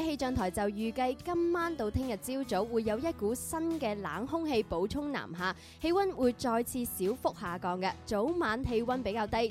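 A woman's voice: on the high side, darkish tone. A woman with a Guangzhou Cantonese accent reading a scripted radio weather bulletin.

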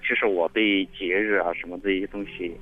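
A man calling in to a radio show, speaking earnestly and haltingly, often repeating his words. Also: sits low, steady tone. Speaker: caller. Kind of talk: phone-in conversation. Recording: muffled phone line with faint music.

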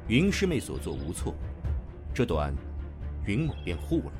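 A man playing a calm, stern and protective martial sect leader, composed and formal, in a wuxia radio drama. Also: on the low side, moderate pace, over music. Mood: calm, firm.

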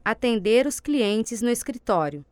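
A woman reading instructions and cue words for a language course drill in a calm, precise way. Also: on the high side, unhurried, background noise. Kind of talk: scripted language drill prompts.

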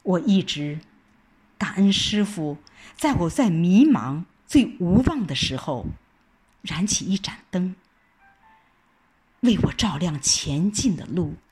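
A woman performing dramatic first-person narration in a reflective, expressive recitation with deliberate pauses. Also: fairly low pitch, husky. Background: background music.

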